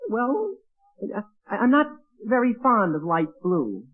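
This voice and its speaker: A man's voice: high voice, sing-song, slow, full character voice. Flustered teen boy, gee-whiz radio sitcom, stammery and evasive, thin tinny old recording. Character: nervous teenage boy, evasive, flustered, dutiful son. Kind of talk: 1940s radio sitcom acting.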